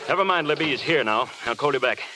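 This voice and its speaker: A man's voice: medium pitch, expressive, fast talker, crowd noise, lightly acted. A man playing a concerned, fatherly Hollywood producer in a golden-age radio drama, speaking earnestly. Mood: businesslike, worried. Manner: earnest, admonishing. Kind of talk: 1930s-40s radio drama acting. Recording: hissy old radio broadcast.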